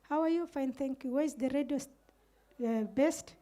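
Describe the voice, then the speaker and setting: A middle-aged woman with a Kenyan Somali accent and a high voice tells a panel her story in earnest, slipping into quoted exchanges as she goes. She holds a handheld microphone in an indoor room. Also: moderate pace, mellow. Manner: earnest, recounts events with quoted dialogue. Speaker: community radio manager on a panel.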